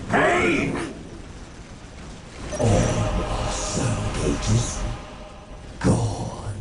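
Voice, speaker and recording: An actor playing a cruel, haughty alien lord in a video game, speaking in a theatrical, menacing and imperious way. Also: male voice, lowish voice, vocal fry, very noisy, heavily acted. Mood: menacing displeasure. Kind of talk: video game villain acting. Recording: echoing, distant, under loud music.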